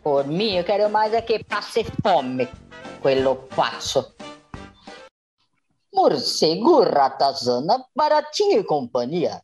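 A defiant, hot-headed sister from a comic radio soap, acted by a woman. Her pitch leaps about as she exclaims and mocks theatrically, peppering her talk with Italian words. Faint music plays behind her. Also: big pitch swings, takes it slowly, a little mellow, breathy edge, exaggerated voice. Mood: indignant, scornful. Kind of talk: comedic radio soap opera acting.

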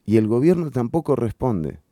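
A man's voice: mid-range voice, big pitch swings, normal speed, mellow, no room sound, studio quality. A man hosting a radio show, giving emphatic political opinion commentary and repeating key phrases for stress. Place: radio studio.

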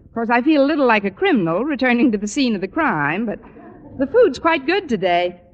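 Sardonic schoolteacher lady, vintage radio sitcom; slightly high pitch, quick dry quips, reverberant old recording. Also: big pitch swings. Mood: playful, dry. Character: wry, wisecracking unmarried schoolteacher woman. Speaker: lead actress in a radio comedy. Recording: muffled, noisy vintage radio with long reverb.

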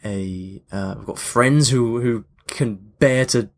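A man's voice: medium pitch, slow. Male podcast host, casual solo talk, mid-range voice, reflective with emphatic points. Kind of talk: casual chatty monologue to listeners.

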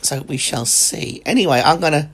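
A man's voice: a little high, moderate pace, creaky, fairly bright tone. A man, hosting a casual podcast chat and laughing often. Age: 40s. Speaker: podcast host.

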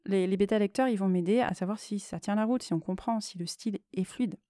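A woman's voice: medium pitch, brisk, mellow, no echo, studio quality. A woman hosting a solo podcast, speaking unscripted in a reflective, explanatory way and often correcting herself as she goes. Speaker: podcast host and novelist.

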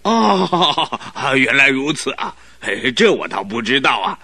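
An actor playing an excitable, crafty old duke in his seventies who stammers and exclaims in a radio play. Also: medium pitch, unhurried, husky, dry recording, full character voice. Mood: grateful, curious. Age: 70s. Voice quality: flustered, full of exclamations and stammering. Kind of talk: 1970s Chinese radio drama acting.

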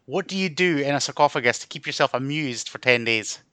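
A man co-hosting a podcast, talking loosely and mocking his own efforts. His voice is bright and sharp, recorded close and dry with no room sound. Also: medium pitch, brisk, clear, resonant tone, no echo. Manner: rambling, self-mocking, swears. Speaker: podcast co-host. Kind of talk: casual chat.

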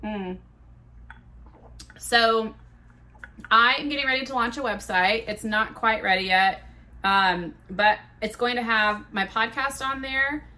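A woman in her thirties hosts her own podcast with a big, bright, forward voice. She talks in a loose, chatty way, full of quoted back-and-forth and casual swearing. Her tone stays self-mocking and frank. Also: on the high side, slow, pauses often. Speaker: podcast host. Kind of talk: casual solo podcast storytelling.

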